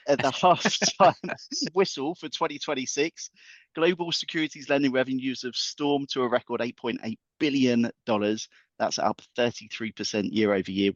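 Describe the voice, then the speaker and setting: A man's voice: medium pitch, no echo, dull audio. A man on a podcast as a guest market analyst, chatting playfully and packing his points with football metaphors. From England. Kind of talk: podcast chat.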